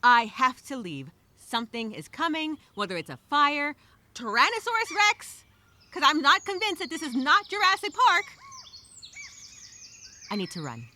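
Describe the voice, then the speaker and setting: A woman's voice: sits high, big pitch swings, normal speed, pushed and bright, voice pushed up, full character voice. A woman playing a frightened, exasperated castaway, talking through a journal entry in a theatrical, emphatic, rambling dramatic monologue. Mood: alarmed, frantic. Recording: clear background noise with birdsong.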